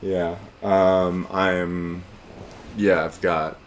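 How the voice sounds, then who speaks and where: A man's voice: low voice, little pitch movement, drawn-out words, a little hiss or hum. A man in his twenties co-hosting a podcast, talking in a laid-back, rambling way with lots of fillers. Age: 20s. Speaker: podcast co-host. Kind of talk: casual chat.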